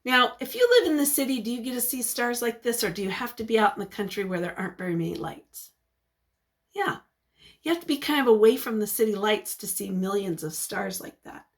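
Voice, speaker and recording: Higher-voiced woman reading a storybook to kids. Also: lively intonation, a little fast. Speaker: teacher reading a picture book aloud. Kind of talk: storybook read-aloud with questions to young listeners.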